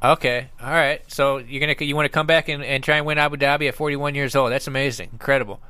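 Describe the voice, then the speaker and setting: Male interviewer, informal and keen, rapid delivery, forward bright tone, close dry sound. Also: mid-range voice, fairly even intonation, clear, resonant tone, no echo. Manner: informal, enthusiastic. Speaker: podcast host. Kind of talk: casual interview.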